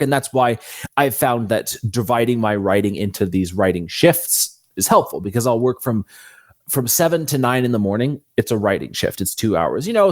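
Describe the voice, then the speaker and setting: A man's voice: fairly low pitch, no room sound, studio quality. A man speaking as an interview guest, explaining things casually and thinking aloud as he goes.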